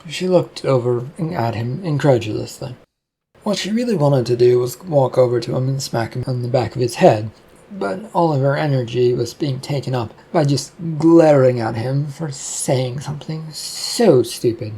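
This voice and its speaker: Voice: medium pitch, normal speed, warm tone, slightly rough, rough recording. A narrator reading a scripted fiction story aloud, telling the action between lines of character dialogue.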